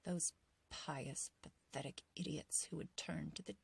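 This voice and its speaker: A woman playing an ancient, beautiful witch in an ASMR roleplay, obsessively loving and menacing, speaking in a breathy whisper with archaic, theatrical phrasing. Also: low-pitched, full character voice. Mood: scornful. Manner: slow, theatrical, archaic wording, doting yet condescending.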